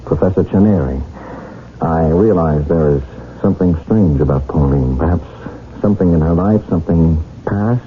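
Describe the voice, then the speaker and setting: A muffled old radio recording with music behind carries a deep-voiced young gentleman played by a male actor. He is formal, earnest and hopelessly in love, speaking as the hero and narrator of a radio drama. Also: moderate pace, natural voice. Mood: earnest, determined. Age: young adult. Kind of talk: radio drama acting with first-person narration.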